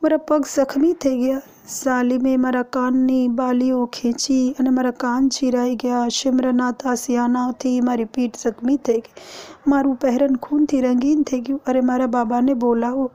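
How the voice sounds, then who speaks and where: A woman's voice: sits high, little pitch movement, moderate pace, dark tone, muffled recording, close to an everyday voice. A woman voicing a wounded orphan girl who pleads and weeps for her father. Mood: pleading. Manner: weeping, pleading.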